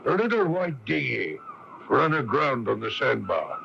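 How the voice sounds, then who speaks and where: An actor in a radio drama playing a stern, dutiful police constable who questions with formal courtesy. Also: male voice, mid-range voice, sing-song, creaky, heavily acted. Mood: suspicious. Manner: deliberate, formally polite interrogation. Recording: lo-fi radio broadcast tape with hiss.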